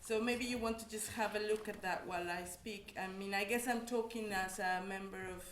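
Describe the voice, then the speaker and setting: A woman's voice: medium pitch, steady tone, a bit bright, a little hiss or hum. Reflective Mexican woman, echoey room. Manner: reflective, halting, many restarts. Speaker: academic panellist. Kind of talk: personal testimony on a panel.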